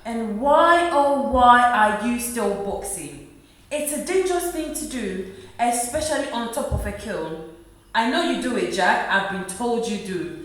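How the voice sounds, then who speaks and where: A woman's voice: a little high, animated, measured pace, fairly bright tone. A woman playing a worried, poor wife and mother in a radio play, earnest and reproachful. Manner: earnest, reproachful, repeating her husband's name. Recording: very echoey room.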